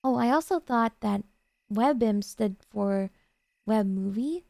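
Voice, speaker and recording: A woman playing a doting, jealous yandere girlfriend in an ASMR roleplay, coaxing and sweetly earnest with hesitant pauses. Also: a little high, expressive, gravelly, warm tone, dry recording, studio quality, exaggerated voice. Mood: defensive, insistent.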